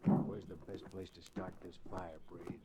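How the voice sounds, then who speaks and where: Gruff low western bad guy keeping his voice down, really noisy old radio recording. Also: monotone, a little slow. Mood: furtive. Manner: hushed, terse, rough. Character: gruff cattle-country outlaw henchman. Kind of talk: 1940s radio western acting.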